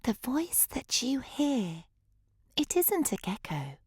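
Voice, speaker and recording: A woman voicing a wise, tiny fluttering creature in a storybook, explaining softly and kindly. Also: high voice, sing-song, takes it slowly, breathy edge, dry recording, studio quality, pitched above the performer's own voice, exaggerated voice. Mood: gentle, helpful.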